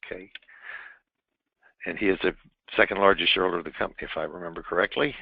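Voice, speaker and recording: A man moderating an investor call over the telephone, reading out questions in a halting, fumbling way. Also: low voice, creaky, dry recording. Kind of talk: unscripted question-and-answer on an earnings call. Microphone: telephone on a conference call. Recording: narrow tinny phone line.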